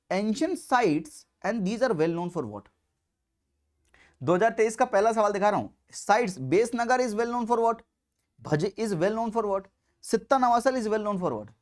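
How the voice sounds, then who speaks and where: A man teaching exam candidates in an explanatory lecture, speaking emphatically, repeating key points and putting rhetorical questions to his students. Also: high voice.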